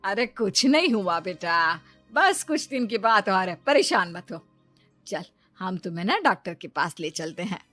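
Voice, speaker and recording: A woman's voice: medium pitch, very animated, measured pace, fairly bright tone, dry recording, faint music behind. A woman playing caring relatives of a pregnant wife in a cartoon story, soothing and reassuring. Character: caring husband and mother-in-law, soothing and reassuring. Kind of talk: Hindi animated moral story acting.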